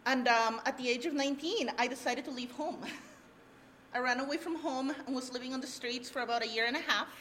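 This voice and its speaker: A woman's voice: sits high, brisk, a bit bright, slight background noise. A young woman from Peru giving a candid, earnest personal testimony as a workshop presenter, with light nervous laughs, in a room with an audience and no handheld mic. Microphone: no handheld mic, room recorder.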